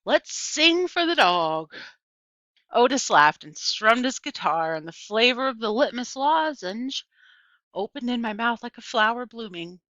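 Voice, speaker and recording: A woman's voice: a little high, animated, normal speed, fairly bright tone, dry recording. A woman reading a first-person children's novel aloud as scripted narration. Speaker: audiobook reader. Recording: dull, lo-fi, dry close recording.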